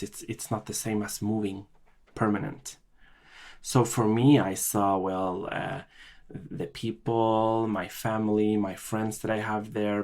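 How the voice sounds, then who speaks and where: Low gravelly man telling his story. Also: a little mellow. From Chile. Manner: halting, with many restarts and fillers. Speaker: podcast guest. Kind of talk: personal storytelling interview.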